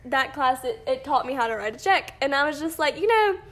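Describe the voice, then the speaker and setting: A woman's voice: sits high, lively intonation, brisk, a bit bright. A young woman in college co-hosting a faith podcast, chatting casually with a stuffy, nasal voice and frequent giggles. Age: young adult. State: nasal, congested from sinuses. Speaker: podcast co-host.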